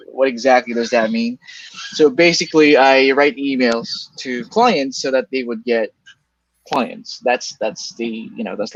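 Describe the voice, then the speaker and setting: A man from the Philippines with a Filipino accent, first language Bicol, answering interview questions in a halting, casual way with many restarts. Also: medium pitch, dry recording. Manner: halting, many restarts and fillers, laughs lightly. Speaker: guest, email copywriter. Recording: dull lo-fi sound.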